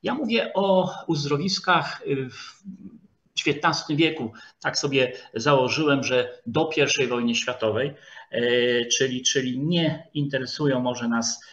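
A man giving a formal online history lecture, speaking haltingly with frequent hesitation sounds and pauses as he searches for words. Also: mid-range voice, a bit bright. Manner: formal, addresses listeners politely. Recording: dull lo-fi video call audio.

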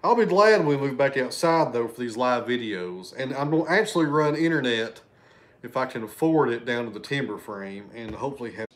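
A man's voice: medium pitch, lively intonation, a little fast. A man, hosting a casual livestream from his living room and chatting with viewers. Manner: chatty, thanks viewers by name, loses his train of thought. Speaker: livestream host. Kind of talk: casual livestream chat reading super chats. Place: living room at home, indoors.

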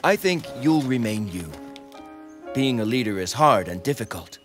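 A man's voice: medium pitch, expressive, normal speed, close to an everyday voice. A man as young warrior hero, loyal, earnest, solemn formal delivery, video game cutscene, music behind. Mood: earnest, reflective. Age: young adult. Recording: background music under dialogue.

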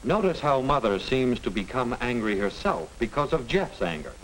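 A middle-aged American man reading scripted narration as a lecturer, didactic and deliberate. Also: medium pitch, big pitch swings. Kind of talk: scripted educational film narration. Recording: hissy 1950 film soundtrack.

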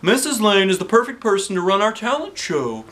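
Guy doing a peppy school principal from a kids book, firm, emphatic, pitched up. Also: big pitch swings, fairly bright tone. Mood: enthusiastic. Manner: emphatic, drawn-out, announcing. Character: enthusiastic school principal, male, firm but cheerful.

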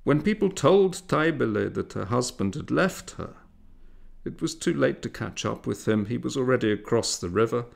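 A man reading a literary story aloud as a narrator, in a measured, expressive way with dramatic emphasis. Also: mid-range voice, creaky. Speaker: audiobook narrator.

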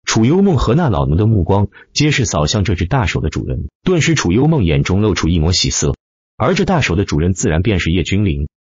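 Male audiobook narrator: low, husky, expressive delivery for dramatic fiction, dry slightly dull recording. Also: quick pace, dry recording. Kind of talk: dramatic scripted web-novel narration.

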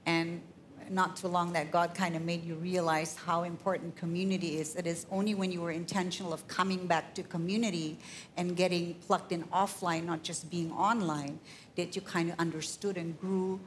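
A woman's voice: fairly low pitch, little pitch movement, normal speed, a little hiss or hum. A woman moderating a church panel, affirming and conversational, on a handheld mic in a large hall. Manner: warm affirming, conversational with fillers.

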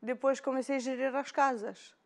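A woman in her thirties with a Moldovan accent, a guest in a TV studio interview, speaking haltingly and searching for words, recorded on a lapel mic. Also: high-pitched, steady tone, darkish tone. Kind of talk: casual interview chat. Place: bright TV studio, indoors.

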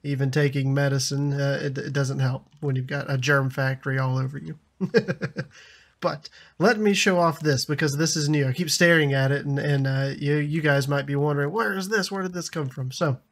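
A man in his thirties with a slightly raspy voice from a lingering cough, chatting casually over a building game and laughing often. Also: a little high, fairly even intonation, normal speed, fairly bright tone. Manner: chatty, laughs often, frequent ums and restarts. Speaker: gaming YouTuber. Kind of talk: casual gameplay commentary.

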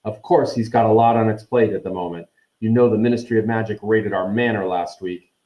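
A man's voice: fairly low pitch, fairly even intonation, fairly quick, roomy sound, highs cut off, natural voice. A man voicing a sneering, arrogant rich schoolboy bully in a haughty, disdainful manner. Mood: scornful, boastful.

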